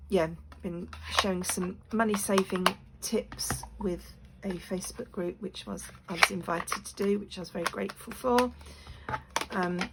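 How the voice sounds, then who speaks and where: Croaky-voiced woman chatting while crafting. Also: on the low side. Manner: chatty, rambling with many fillers. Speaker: crafting video maker. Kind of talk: casual crafting chat while working. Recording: noisy room with clicks.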